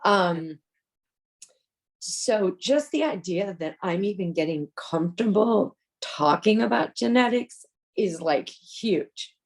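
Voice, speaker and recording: A woman's voice: medium pitch, lively intonation, slow. A woman co-hosting a podcast, chatty and personal, laughing easily and dropping in plenty of fillers. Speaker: podcast co-host. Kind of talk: casual podcast discussion.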